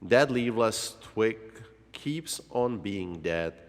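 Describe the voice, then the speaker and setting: Guy reading a kids story real slow and dramatic, big echoey hall sound. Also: fairly low pitch, animated. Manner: dramatic, with drawn-out words and exclamations. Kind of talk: dramatic read-along children's story narration. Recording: long hall-like reverb.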